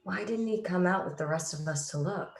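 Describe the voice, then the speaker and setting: A woman's voice: lowish voice, a little fast, gravelly. A woman playing an uneasy suburban neighbor in a radio play, recorded at home. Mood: suspicious. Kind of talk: amateur radio play acting. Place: room at home. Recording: roomy, echoing home recording.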